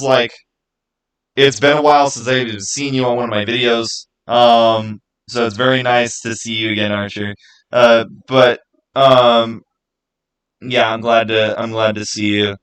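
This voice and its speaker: A man with a mid-pitched voice hosts a livestream. He talks in a casual, conversational way, wandering through his thoughts as he responds to people in the chat. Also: fairly bright tone, smooth. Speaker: livestream host. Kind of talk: casual livestream chat, answering viewers' comments.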